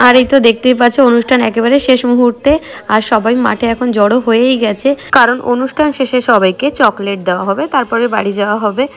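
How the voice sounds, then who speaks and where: A woman's voice: on the high side, steady tone, quick pace. A woman narrating a school event as a voice-over for her vlog, speaking as a teacher.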